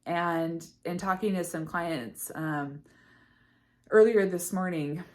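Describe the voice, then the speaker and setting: Slightly hoarse woman coach, lowish voice. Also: takes it slowly, a little mellow. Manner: earnest, many fillers and restarts. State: slightly hoarse, losing her voice. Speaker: eating disorder recovery coach. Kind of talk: casual talk to camera.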